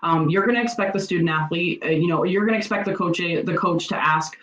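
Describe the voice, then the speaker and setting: A woman's voice: on the low side, fairly quick, a bit bright. A woman giving explanatory interview answers as a former college coach, earnest and wordy, often circling back to rephrase. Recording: roomy, dull lo-fi audio.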